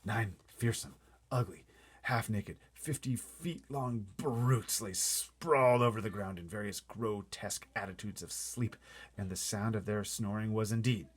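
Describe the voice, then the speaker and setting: A man's voice: on the low side, a little slow, slightly rough. A man narrating a storybook in a dramatic, theatrical and suspenseful readaloud style. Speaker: storybook reader.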